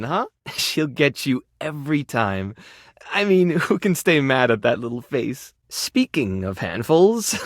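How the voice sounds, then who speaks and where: A soft-hearted, self-effacing animal rescuer from a video game. His delivery is shy and earnest, full of small hesitations. The pitch moves around in an animated way, and the recording is dry, with no room sound. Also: no echo. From Mexico (character). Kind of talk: video game character voice acting.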